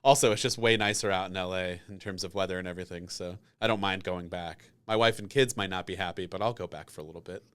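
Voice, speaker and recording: A man's voice: low-pitched, steady tone, fast talker, few pauses, a bit bright, clean tone. A man hosting a podcast, chatting in a casual, rambling, conversational interview style. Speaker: podcast host.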